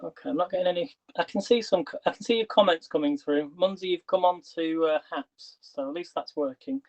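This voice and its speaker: A man's voice: sits high, brisk. A man hosting a casual kitchen livestream, chatty and rambling, who is losing his voice. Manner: chatty, rambling, restarts questions to viewers. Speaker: livestream host. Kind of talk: casual live-stream chat. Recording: dull lo-fi livestream audio.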